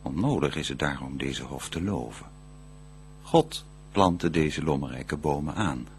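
An actor playing a grave, admiring archangel who declaims verse solemnly and deliberately in a radio play. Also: male voice, rumbling low, animated, a little mellow, slight background noise, heavily acted. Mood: awed, solemn.

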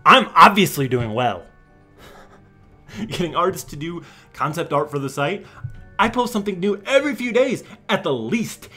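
A young man giving an over-the-top dramatic reading as a smug, defensive forum poster, theatrical and swinging between breathy whispers and shouting. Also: high-pitched, full character voice. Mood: sulky, dismissive. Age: young adult. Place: small room at home.

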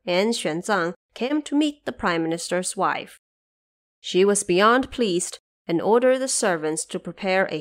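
A woman narrates a classic storybook tale at a middling pitch. She is measured and expressive, shaping the scripted lines as a storyteller would.

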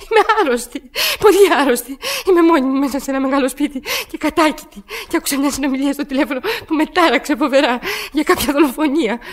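A woman's voice: high voice, few pauses, natural voice. An actress playing a frantic, breathless sick woman alone at home, pleading hysterically in a radio drama. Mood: agitated, pleading.

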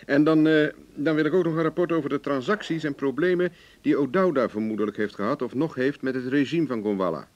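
An actor playing a cocky, wry, hard-drinking investigator in a radio play, speaking in a dry, self-assured way. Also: male voice, medium pitch, fast, close to an everyday voice. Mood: brisk, wry. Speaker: actor in the lead role. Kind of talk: Dutch radio play acting. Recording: dull, lo-fi old radio recording.

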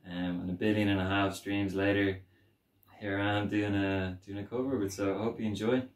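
A man's voice: lowish voice, a little fast, far from the mic. Young man, roomy off-mic sound. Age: young adult. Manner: relaxed, chatty, many ums. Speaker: guitarist. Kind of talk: casual video intro. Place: small wooden home studio cabin. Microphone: camera mic from a few feet away. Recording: roomy wooden room, off-mic.

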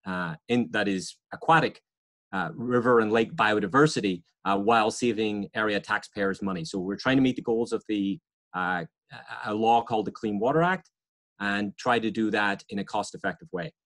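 Man, forties, low voice, hesitant with many fillers, video call mic. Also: normal speed, fairly bright tone. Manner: hesitant, many uhs and restarts. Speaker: environmental scientist speaking to students. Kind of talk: informal career talk over video call. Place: home or office on video call, virtual background. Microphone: webcam or laptop mic on video call.

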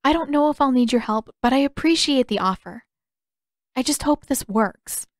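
Woman reading as a determined teen girl, blunt and caring, higher voice, dry close sound. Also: animated, moderate pace, no echo. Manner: direct, casual phrasing.

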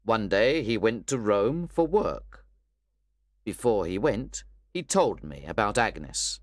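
A man reading an audiobook who voices a calm, stern older gentleman, firm and measured. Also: mid-range voice, dry recording, studio quality.

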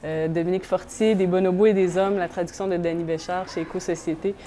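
A woman's voice: lowish voice, fairly even intonation, quick pace, warm tone, a little hiss or hum. A woman, hosting a book discussion and asking probing questions. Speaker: host. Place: bookstore, indoors.

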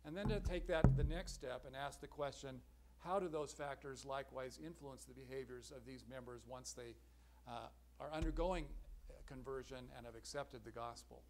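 A middle-aged man giving an academic conference talk from a podium in a large lecture hall, measured and earnest, clearing his throat now and then. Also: medium pitch, darkish tone, a little hiss or hum. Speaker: symposium speaker. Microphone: podium microphone, far camera.

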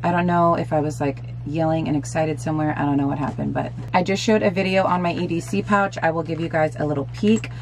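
A woman in her thirties talks to her viewers in a bright, chatty way, her voice sitting a bit low and slightly hoarse. She is filmed in a small bedroom with a faint background hiss. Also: fairly even intonation, quick pace, few pauses. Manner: chatty and upbeat, addresses viewers directly. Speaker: lifestyle vlogger. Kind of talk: casual product show-and-tell.

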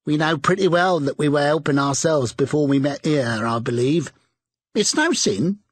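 Man putting on a shrill, brazen charwoman. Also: normal speed, no room sound, close to an everyday voice. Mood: scornful. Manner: shrill, scornful, loud. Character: bold defiant charwoman, brash older woman.

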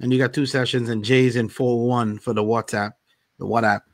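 A man's voice: medium pitch, flat delivery, a little fast, dry recording. A man from Queens, a guest on a live video chat, talking emphatically with many "like"s. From Queens, New York. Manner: emphatic, lots of "like", drawn out.

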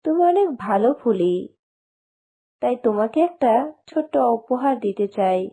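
A woman voicing a kind, gentle flower fairy in a cartoon. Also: on the high side, expressive, normal speed. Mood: gentle, consoling. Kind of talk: cartoon voice acting.

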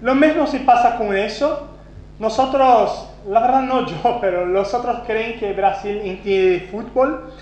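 Portuguese-speaking Brazilian man at a conference, high-pitched, self-deprecating, many restarts, echoey room. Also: measured pace, a little mellow. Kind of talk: conference talk. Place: conference hall. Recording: roomy, dull lo-fi sound with faint hum.

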